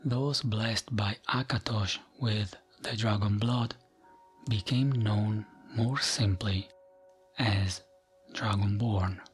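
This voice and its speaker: Slow, whispery man reading ASMR lore. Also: lowish voice, fairly even intonation, faint music behind. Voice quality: soft, breathy, often whispered. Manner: gentle, soothing, unhurried, with frequent pauses. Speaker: ASMR gaming channel host. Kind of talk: ASMR reading of fantasy game lore as a bedtime tale.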